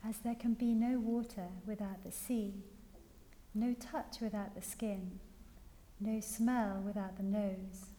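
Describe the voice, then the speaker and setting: A woman reads a spiritual text aloud like a litany, line by measured line. Her delivery is slow and her tone soft and dark. The sound is washed in hall reverb. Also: medium pitch, fairly even intonation. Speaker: audience member reading aloud. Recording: reverberant hall with faint noise.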